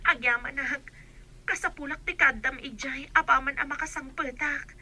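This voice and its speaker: A woman acts out a young Ilocano village woman with a high voice. She sounds wary and anxious, in the style of a radio comedy drama. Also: fairly even intonation, moderate pace, sharp tone, full character voice. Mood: pleading.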